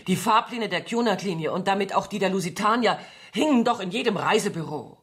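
An actress plays a worldly female dancer accused of espionage in a radio drama. She speaks in a medium-pitched voice with measured, coy irony. She is evasive and defensive, yet keeps her coquettish poise. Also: animated, natural voice. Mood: mocking. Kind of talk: German radio play acting.

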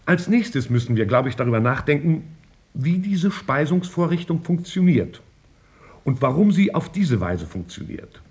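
An actor playing a skeptical, orderly senior scientist in a 1950s German radio drama, measured and formal, with thoughtful hesitations. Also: male voice, fairly high pitch, very animated, darkish tone. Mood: businesslike.

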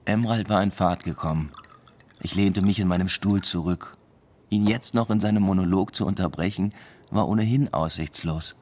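An actor playing a reflective academic, narrating and talking in a measured, matter-of-fact way in a radio drama. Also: male voice, deep voice, a little mellow. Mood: reflective, subdued. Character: reflective male academic narrating his everyday life. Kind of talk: first-person radio drama narration and dialogue.

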